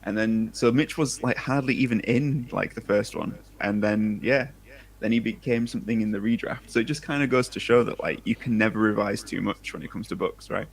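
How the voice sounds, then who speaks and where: Low, creaky-voiced man chatting casually. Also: a little fast, low-quality recording. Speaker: debut sci-fi author, livestream guest. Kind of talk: casual chat.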